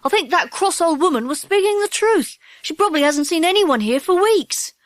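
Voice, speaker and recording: Schoolboy gang leader for a children's story, played by a woman: high, animated, earnest and decisive. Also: normal speed, no echo. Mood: thoughtful. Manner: eager, bossy, earnest. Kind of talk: children's audiobook character acting.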